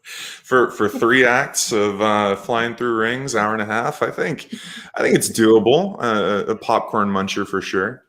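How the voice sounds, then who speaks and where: Low-voiced man in casual chat. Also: measured pace, fairly bright tone. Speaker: guest panelist.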